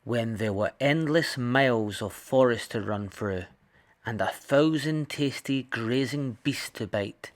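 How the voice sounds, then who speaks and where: A man narrating a children's picture book in an expressive read-aloud style. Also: mid-range voice, slow pace. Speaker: storybook reader.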